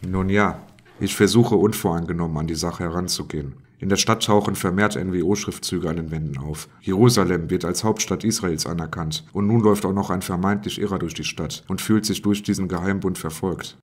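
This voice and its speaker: A man's voice: deep voice, brisk, slightly rough. A man playing a mentor figure in a detective radio play parody. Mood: measured.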